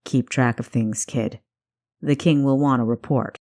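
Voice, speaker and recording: Casting: a woman playing a stern male spymaster for a fantasy audiobook, low-voiced, clipped and commanding. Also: fairly even intonation, unhurried, a little mellow. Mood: brisk, instructing. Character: gruff older male spymaster, stern and teasing.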